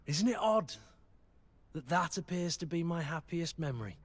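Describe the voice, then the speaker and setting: Brooding soldier, higher-set voice. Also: male voice, expressive, measured pace. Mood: wistful. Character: gruff, battle-worn male mercenary master of arms, guilt-ridden, loyal. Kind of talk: video game dialogue acting.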